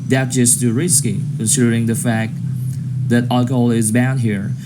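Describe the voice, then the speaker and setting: A man's voice: medium pitch, little pitch movement, normal speed, very noisy. A man in his early thirties from Aceh with an Indonesian accent, informally introducing music as a member of a punk scene.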